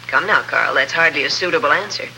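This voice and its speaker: High-voiced, suspicious intriguer. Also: male voice, quick pace, pushed and bright, slight background noise, low-quality recording. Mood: cool suspicion. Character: suspicious, coolly polite doctor in an intrigue ring. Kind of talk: 1950s radio drama acting. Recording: old radio recording.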